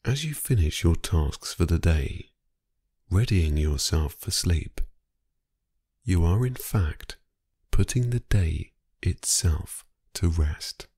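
Very deep, slow, soothing male meditation voice. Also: slightly rough, dry recording, studio quality. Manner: calm, soothing, unhurried, with long pauses. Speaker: guided meditation and sleep hypnosis narrator. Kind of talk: slow guided sleep meditation, hypnotic narration.

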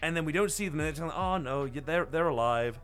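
A man's voice: on the high side, fast talker, fairly bright tone. Man rambling casually on a podcast over music. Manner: rambling, hesitant, swearing. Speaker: podcast co-host. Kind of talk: casual manga recap discussion.

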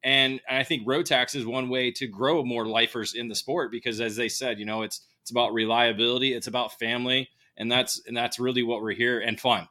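Brief: co-host man, bright mid voice, keen and repetitive. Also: mid-range voice, steady tone, a little fast, few pauses. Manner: enthusiastic, repetitive restarts.

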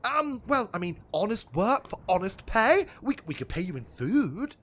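A gushing, wholesome cyclist. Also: female voice, medium pitch, very animated, moderate pace. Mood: eager. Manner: bubbly, gushing, apologetic. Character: cheerful, wholesome charity cyclist leader, overly polite.

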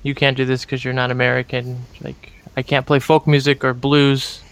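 A man's voice: medium pitch, little pitch movement, moderate pace, gravelly, a bit bright. A man in a casual conversation, making earnest, opinionated points and searching for words as he goes.

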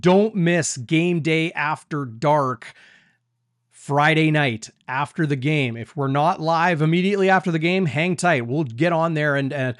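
A man's voice: fairly high pitch, measured pace, dry recording, studio quality. A man hosting a live sports talk show over a video call, upbeat and chatty. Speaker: host, sports reporter.

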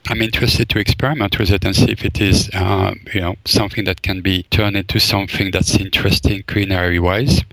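A man's voice: low voice, little pitch movement, fairly quick, hardly any pauses, a bit bright, no room sound, poor audio. A man from Belgium, a forager and chef, answering interview questions thoughtfully, with many fillers and restarts. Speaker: forager and wild food chef, guest.

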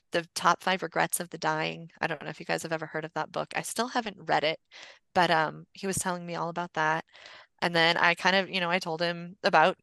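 Female podcast guest, forties, lowish bright voice, rambling conversational storyteller, close dry sound. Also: vocal fry, no echo. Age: 40s. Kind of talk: casual personal storytelling.

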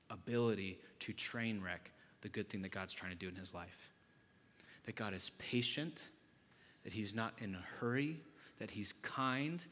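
A man's voice: mid-range voice, a bit bright. A man preaching a conversational sermon, often putting rhetorical questions to the congregation. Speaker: pastor. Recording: long reverb in large hall.

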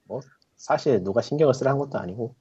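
A man's voice: medium pitch, animated, fast, warm tone, slightly rough, no room sound. A man in his thirties on a casual podcast, making wry, philosophical remarks. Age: 30s. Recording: lo-fi audio.

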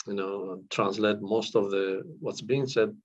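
Man, middle-aged, low-pitched, halting with fillers, muffled lo-fi recording. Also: steady tone. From northern Iraq. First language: Kurdish. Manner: hesitant, many fillers and restarts. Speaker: counsellor. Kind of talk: podcast interview. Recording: dull lo-fi audio.

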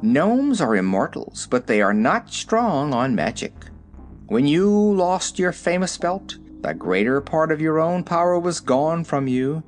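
A man voicing a wise old male gnome general who speaks calmly and lectures his king. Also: on the high side, a little slow, soft background music, voice pushed up. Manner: calm, coolly lecturing.

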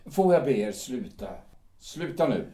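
A man voicing a steady old friend who mediates between a quarreling couple and keeps watch, calm and measured in an audio drama. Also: mid-range voice, reverberant, natural voice. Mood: firm. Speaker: Kurt.

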